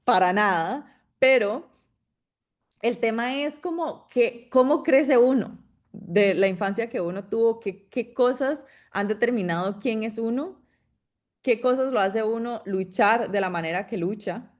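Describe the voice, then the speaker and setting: A woman's voice: a little high, unhurried. A young woman from Costa Rica with a Costa Rican accent, giving an informal, chatty talk to an audience, with frequent fillers and restarts. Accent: Costa Rican Spanish. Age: young adult. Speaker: guest speaker, PhD student.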